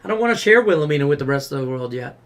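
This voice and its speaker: A man's voice: a little high, animated, racing, a bit bright. A man co-hosting a casual sports betting talk show, joking and rambling. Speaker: co-host.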